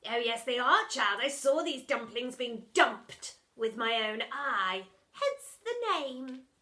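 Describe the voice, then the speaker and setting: A woman's voice: fairly high pitch, animated, slow, pushed and bright, full character voice. A woman voicing a villainous old dinner lady in a children's story, mock-sweet and gloating, stretching out her words. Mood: menacing glee. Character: villainous old dinner lady, one-eyed, false teeth, gleefully menacing.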